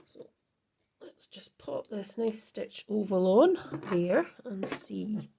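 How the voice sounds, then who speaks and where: A woman hosting her card-making channel, chatting casually through a crafting tutorial with a croaky voice, rambling and correcting herself as she goes. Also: mid-range voice, expressive, slow pace, dark tone, a little hiss or hum. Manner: rambling, self-correcting, many ums. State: croaky, husky voice.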